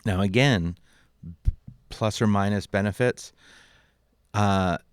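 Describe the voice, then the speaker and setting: Low-voiced man giving a casual tutorial. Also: slow, smooth, no echo, clean studio sound. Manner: informal, thinking aloud. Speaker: audio software instructor. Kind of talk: casual screen-recorded tutorial narration.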